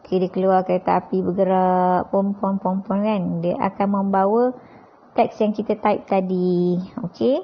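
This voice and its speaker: A woman with a Malaysian accent presents a tutorial in a mid-pitched voice. She talks informally, step by step, often adding little checking questions. The recording sounds dull and lo-fi. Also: little pitch movement, normal speed. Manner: conversational, frequent checking tag questions.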